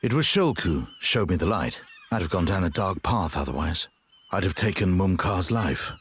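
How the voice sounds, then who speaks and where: A man voicing a grave, honourable, regretful warrior in a video game, earnest and measured. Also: low voice, lively intonation, breathy edge, exaggerated voice. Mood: reflective, earnest. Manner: earnest, measured, formal, brooding. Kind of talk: dramatic video game voice acting.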